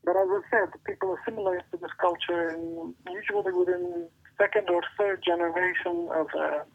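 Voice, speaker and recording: A man answers questions as a pastor, earnest and measured, pausing often to find his words. His voice sits a little high and stays flat in its melody. The recording sounds dull and lo-fi. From Iraq. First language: Arabic. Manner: earnest, with many pauses and restarts. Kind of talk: interview answers and closing prayer. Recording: dull lo-fi audio.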